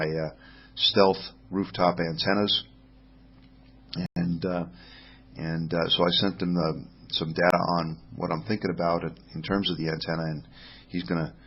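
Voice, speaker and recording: Sex: man. Role: podcast host. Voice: creaky, husky, low. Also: highs cut off. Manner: halting, restarts phrases often. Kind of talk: casual podcast monologue reading listener mail. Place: home in Rome.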